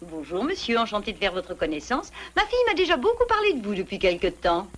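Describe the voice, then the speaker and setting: A woman's voice: medium pitch, huge pitch swings, fast. A woman voicing a sociable animal mother in a French cartoon, gracious and effusive. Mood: friendly, gracious. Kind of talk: French cartoon dub acting.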